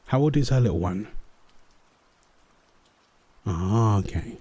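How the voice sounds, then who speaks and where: Mellow, soothing man with animated intonation. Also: lowish voice, takes it slowly, husky, no echo. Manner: soothing, tender, affectionate. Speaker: audio roleplay performer as husband and father. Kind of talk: intimate comfort roleplay and bedtime storytelling.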